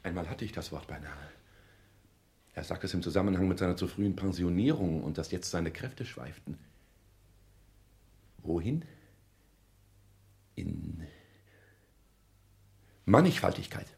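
A driven, domineering son in a vintage radio play. His voice sits fairly low and he declaims in clipped, imperious phrases. The studio around him sounds roomy. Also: animated, a little slow, vocal fry, long pauses, darkish tone, exaggerated voice. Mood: pondering, pompous. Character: driven, domineering adult son and social climber. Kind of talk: German radio play acting.

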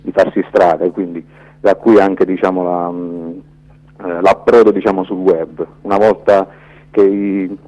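Deep, mellow, halting man. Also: flat delivery. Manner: hesitant, halting, reflective, searching for words. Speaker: documentary filmmaker, interview guest. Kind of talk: spontaneous radio interview answers. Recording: dull lo-fi radio interview audio.

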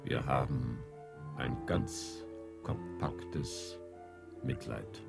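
An actor playing a worldly, grandiose and coaxing man in a radio drama, with a gravelly voice. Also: very slow, heavily acted. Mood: calm, grandiose. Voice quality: very deep, gravelly. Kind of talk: German radio drama acting. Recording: studio radio play with loud music underneath.

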